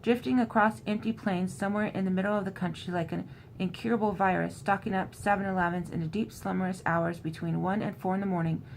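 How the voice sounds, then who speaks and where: A woman's voice: mid-range voice, fairly even intonation, fast talker, creaky, slight background noise, low-quality recording. A woman in an informal, conversational style, recapping a book and reading passages from it aloud. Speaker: host recapping a horror novel.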